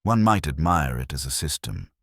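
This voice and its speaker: A man reading a genteel, evasive gentleman suspect in an audiobook, dry, formal and composed. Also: very deep voice, lively intonation, normal speed, no echo, exaggerated voice. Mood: unruffled, wry.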